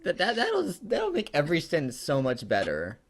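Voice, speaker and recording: A man's voice: a little high, huge pitch swings, moderate pace, creaky. A young American man co-hosting a comedic story reading, chatting casually in an incredulous, sarcastic way and swearing freely. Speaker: co-host of a comedic read-aloud show. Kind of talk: casual chat, mocking commentary on a story.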